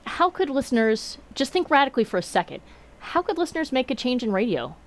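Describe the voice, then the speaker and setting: Woman putting on an excitable, high-pitched kid host. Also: fast. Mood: naive curiosity. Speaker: show host. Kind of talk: playful educational interview show hosting.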